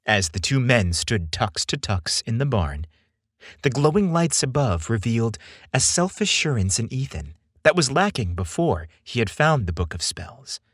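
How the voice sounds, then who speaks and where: A man narrating a scripted romance story as a podcast host, in an expressive storytelling style. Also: medium pitch, moderate pace, husky, dry recording, clean studio sound.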